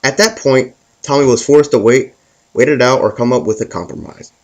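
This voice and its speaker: A man's voice: medium pitch, moderate pace, darkish tone. A man hosting a scripted comic story, narrating it with stumbles and repeated words as he reads. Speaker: host and storyteller.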